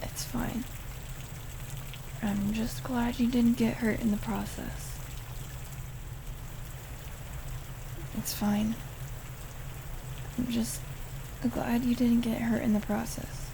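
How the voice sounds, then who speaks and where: A woman playing a caring, hurt young friend in an intimate audio roleplay, speaking earnestly and haltingly to the listener. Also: on the high side, vocal fry. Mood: relieved, gentle. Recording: steady rain noise over the voice. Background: rain falling on surfaces.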